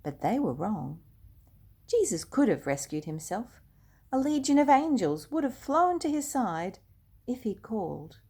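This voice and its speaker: Slow, gentle older woman reading a story. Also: fairly high pitch, big pitch swings, husky. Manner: slow, gentle and hushed, dropping to near whispers. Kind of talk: reading a children's storybook aloud.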